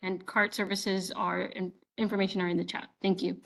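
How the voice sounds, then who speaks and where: A woman reads the opening practical announcements for a public meeting, covering interpreters and captioning. She is informational and slightly halting, with fillers. Her pitch is average. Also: level tone, creaky. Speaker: meeting staff making announcements.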